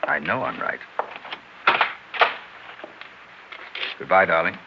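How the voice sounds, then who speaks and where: Man acting a scheming, smooth-talking low-voiced husband, dull recording. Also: fast talker, close to an everyday voice. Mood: soothing. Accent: American. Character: scheming, smooth-talking husband who plotted his wife's murder. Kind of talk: 1960s radio drama acting. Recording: dull, hissy 1960s radio recording.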